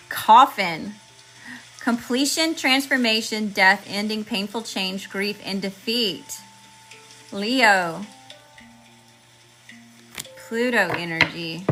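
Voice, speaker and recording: A woman reading tarot cards for her viewers in a chatty, slangy way, calling them y'all and listing card meanings one word at a time, with her voice going hoarse. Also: mid-range voice, low-quality recording. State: losing her voice. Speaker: tarot reader channeling messages. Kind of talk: casual spiritual reading to viewers.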